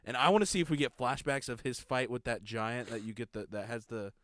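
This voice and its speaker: Podcast co-host, man, casual and rambling, clear voice with a dry, close feel. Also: brisk, fairly bright tone, no echo. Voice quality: low voice. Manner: rambling, laughs often, many restarts. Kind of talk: casual chat.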